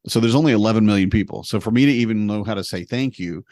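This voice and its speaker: A man tells a story in relaxed conversation, lively and poking fun at himself. His voice sits on the low side. The sound is dry and close, with no room echo. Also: very fast. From United States. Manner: animated, self-deprecating, humorous storyteller.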